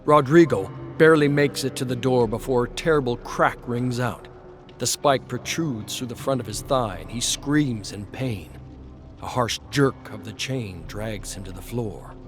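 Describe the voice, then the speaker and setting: Male narrator, dramatic western storytelling, unhurried pace, terse, brooding, soft music underneath. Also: medium pitch.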